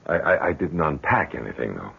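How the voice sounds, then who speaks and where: Middle-aged father, very low creaky voice, hesitant, stammering, protective, troubled, old radio drama. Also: very animated, brisk, full character voice. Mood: uneasy. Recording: dull, noisy old radio recording.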